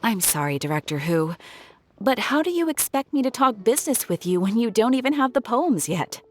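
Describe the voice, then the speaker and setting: A woman playing a skeptical, practical bookseller in a video game, speaking in a dry, measured, sarcastic way. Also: fairly high pitch, sing-song. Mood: dry, exasperated. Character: skeptical, practical female small-business bookseller.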